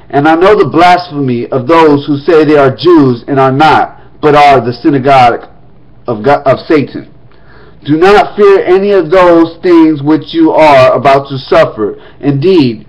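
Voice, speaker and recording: Man reading scripture, lo-fi recording. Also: a little high. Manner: steady reading broken by quick, casual spoken asides. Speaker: scripture reader. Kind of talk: reading scripture aloud with casual asides. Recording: dull lo-fi recording.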